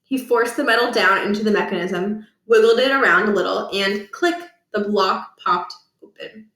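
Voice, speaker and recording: A woman narrating a children's book aloud, with expressive storytelling and deliberate emphasis. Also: medium pitch. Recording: roomy echo, distant from the mic.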